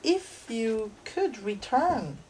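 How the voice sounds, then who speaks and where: A woman hosting a radio show, asking friendly questions with some hesitation and steady encouraging murmurs. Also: a little high, very slow, distant mic, low-quality recording. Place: radio studio.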